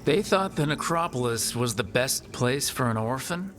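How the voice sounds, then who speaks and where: A plain-spoken fantasy game hero voiced by a male actor, wry and conversational. His voice is medium in pitch, with clear music running behind it. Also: expressive, normal speed, close to an everyday voice. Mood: earnest. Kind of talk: video game voice acting.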